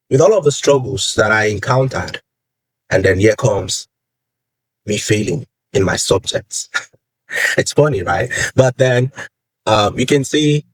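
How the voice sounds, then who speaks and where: Young Nigerian man with a husky voice. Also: on the low side, no echo, low-quality recording. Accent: Nigerian, Yoruba. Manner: earnest, repeats phrases for emphasis. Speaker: vlogger. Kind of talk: personal advice talk to camera.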